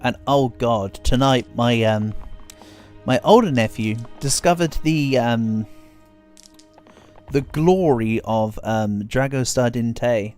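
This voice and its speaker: A man's voice: medium pitch, slow pace, darkish tone. Stuffy-nosed guy rambling through a game stream, self-deprecating, faint music behind. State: congested, feeling a cold coming. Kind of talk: casual game livestream chat.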